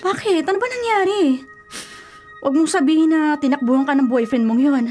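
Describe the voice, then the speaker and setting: Woman acting as nagging older sister, highish fretful voice, radio drama, a bit of music under. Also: a little fast, a little mellow, close to an everyday voice. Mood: scolding concern. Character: superstitious, stubborn, nagging elder sister and frustrated fiancée. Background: faint music.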